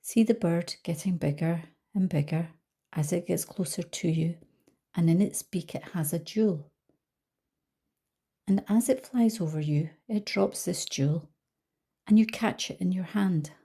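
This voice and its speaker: A woman leading a guided visualisation as a coach, speaking calmly and gently with soft trailing phrases. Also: on the low side, a little slow, slightly rough. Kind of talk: guided meditation narration.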